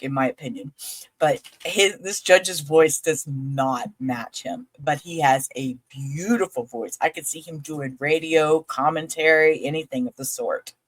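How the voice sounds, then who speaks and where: Low-voiced woman chatting casually. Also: no room sound. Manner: chatty, conversational asides. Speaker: commentator. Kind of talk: casual commentary.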